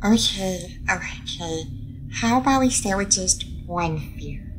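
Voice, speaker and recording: A woman's voice: mid-range voice, animated, takes it slowly, a little hiss or hum. A woman playing a friendly, curious alien starship captain in an audio roleplay, soothing and a little hesitant as she addresses the listener. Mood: gentle, playful.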